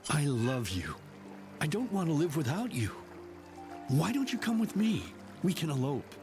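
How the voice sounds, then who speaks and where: A man's voice: on the high side, big pitch swings, normal speed, over music. A man voicing a tender young prince in love in a game cutscene, pleading affectionately.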